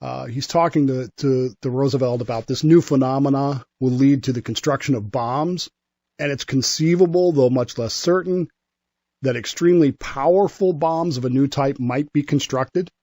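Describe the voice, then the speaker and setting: A man's voice: mid-range voice, moderate pace, warm tone, no room sound. A man telling history conversationally as a show guest, with an enthusiastic, emphatic delivery that sometimes halts and repeats words.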